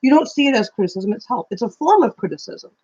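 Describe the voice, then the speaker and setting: A woman's voice: a little high, animated, fast talker, darkish tone, muffled recording. A woman co-hosting a casual live stream chat, rambling through thoughts and swearing casually in her carrying voice. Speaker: co-host.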